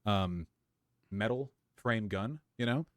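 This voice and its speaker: A man talks casually to his stream in a mid-pitched voice with a sharp, bright edge. His delivery is hesitant and halting. The recording is dry and close. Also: no room sound. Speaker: streamer showing his belongings. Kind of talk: casual livestream chat.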